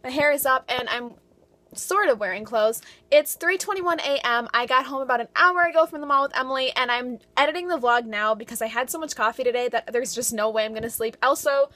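A woman's voice: sits high, fairly quick, sharp tone, no echo. A twenty-year-old woman vlogger chatting casually to the camera in a rambling, self-deprecating way. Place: small bedroom in a basement. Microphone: camera at arm's length.

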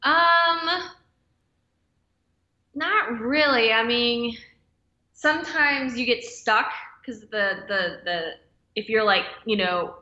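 A woman's voice: fairly high pitch, slow, pauses often, sharp tone, echoey room, muffled recording. A woman, an American stunt performer, chatting casually as a podcast guest, loose and easygoing with many "yeah"s and "like"s. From United States. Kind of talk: casual interview chat.

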